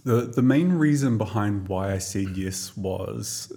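A man's voice: fairly low pitch, lively intonation, unhurried, gravelly, dark tone. A man, telling his own story in a reflective, chatty way on a podcast. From New Zealand. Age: 40s. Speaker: podcast co-host and guest. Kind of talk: casual personal storytelling.

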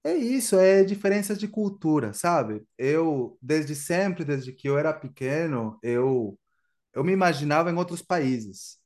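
Guatemalan man, native Spanish speaker, fairly high voice, relaxed and chatty, laughs often. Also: unhurried. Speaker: podcast guest, Spanish teacher. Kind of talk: casual interview chat.

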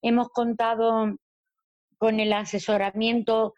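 A woman's voice: fairly high pitch, level tone, measured pace, darkish tone, highs cut off. A woman with an Andalusian accent who coordinates groups at a campsite, explaining things step by step in an easygoing way, with many fillers. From Andalusia, Spain. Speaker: campsite group coordinator and social media manager. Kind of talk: casual interview answers.

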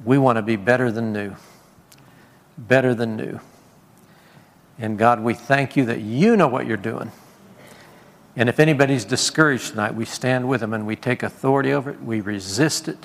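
A man's voice: mid-range voice, moderate pace, creaky, pauses often. A man praying fervently over a church congregation as visiting preacher, repeating his words. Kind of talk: prayer and sermon. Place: church service. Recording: reverberant church hall.

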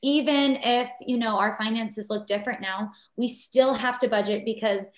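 A woman's voice: on the high side, steady tone, moderate pace, roomy sound. A woman in her twenties, a podcast guest, chatting enthusiastically and repeating words for emphasis. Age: 20s. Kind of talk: casual chat.

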